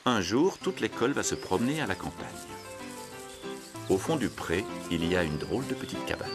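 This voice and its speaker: A male storyteller with a low voice and animated melody. He reads a children's tale in measured, simple sentences, with music clearly playing underneath. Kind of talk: scripted children's story narration.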